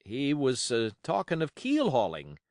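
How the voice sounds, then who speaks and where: A man acts an old gray-haired sailor in a storybook reading. He is sheepish and none too bright. His speech is hesitant and deferential, recorded dry and close. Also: medium pitch, huge pitch swings, a little slow, dry recording, voice pushed up. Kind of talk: audiobook character acting.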